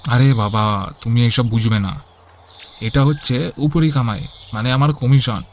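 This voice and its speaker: A man's voice: mid-range voice, a little slow, a little hiss or hum, lightly acted. A man voicing a greedy young married son in a cartoon, self-assured and dismissive. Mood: smug, impatient.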